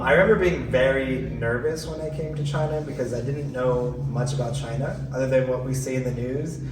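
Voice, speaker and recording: A man from California telling his story casually, relaxed and full of fillers. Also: medium pitch. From California, USA. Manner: relaxed, chatty, many "like" and "you know" fillers. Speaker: guest. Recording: roomy, distant mic, faint noise.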